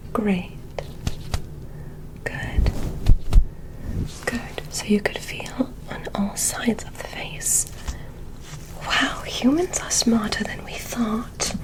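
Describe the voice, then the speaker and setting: A woman whispers in an ASMR roleplay, playing a curious and courteous alien examiner. She takes her time, with long unhurried spacing between soft instructions. Where her voice comes through, it sits fairly high. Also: low-quality recording. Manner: soft, deliberate, soothing exam instructions with repeated words. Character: polite, curious alien scientist examining a human. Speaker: ASMR performer.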